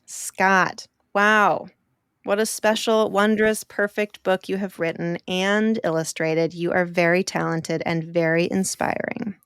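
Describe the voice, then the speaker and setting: A woman hosts a podcast for children, talking slowly and with plenty of emphasis to cheer young listeners on. Her voice is smooth and clear, and the recording is close and dry, with no room sound. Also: on the low side, steady tone, no room sound. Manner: warm encouraging, slow and emphatic. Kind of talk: enthusiastic talk to children.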